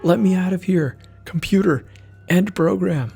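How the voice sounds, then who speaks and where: A man voicing a desperate trapped man from a horror story, calling out loudly in a strained, frantic way. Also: high-pitched, unhurried, gravelly, soft background music, voice pushed up. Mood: panicked, angry. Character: desperate trapped man pleading in an eerie place.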